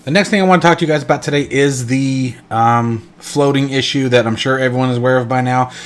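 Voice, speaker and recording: A man's voice: mid-range voice, fast talker. A congested man hosting a casual flight sim tutorial, rambling and correcting himself with lots of fillers. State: congested, stuffed up. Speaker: flight sim channel host. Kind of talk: casual screen-recorded tutorial.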